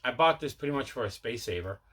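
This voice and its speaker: Male vlog host, mid-pitched with a bright, forward voice; casual, enthusiastic collector chat that circles back on itself. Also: lively intonation, fast talker, slightly rough. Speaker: video host showing a movie collection. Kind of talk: casual mail-day haul chat.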